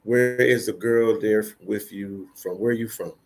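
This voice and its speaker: A man's voice: lowish voice, steady tone, normal speed, a little mellow, a little hiss or hum. A middle-aged American man hosting a casual livestream, reading viewer comments aloud in a laid-back, slangy, teasing way. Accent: American English.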